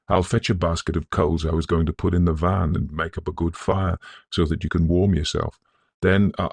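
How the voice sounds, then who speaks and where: Storybook role: humble working man played by a man, deferential, kindly, earnest, gravelly voice. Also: sits low, a little mellow, dry recording, exaggerated voice.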